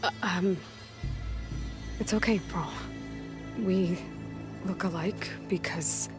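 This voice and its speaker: Burdened young game heroine: low-pitched, earnest, halting short phrases, music underneath. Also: female voice, steady tone. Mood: solemn, halting. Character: determined, guarded young woman adventurer, burdened and earnest. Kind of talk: naturalistic video game voice acting. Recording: game dialogue over background music.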